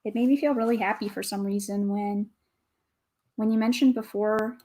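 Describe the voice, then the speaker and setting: A woman with a calm, soothing voice, chatting casually with viewers as a livestream host, greeting and thanking them as she goes. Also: mid-range voice, moderate pace. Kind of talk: casual livestream chat while building.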